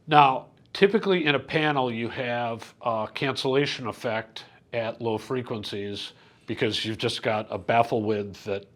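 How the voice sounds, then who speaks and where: A man's voice: medium pitch, a little slow. A man conducting a casual product interview as a reviewer, methodically checking and repeating what he hears. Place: hi-fi trade show exhibit.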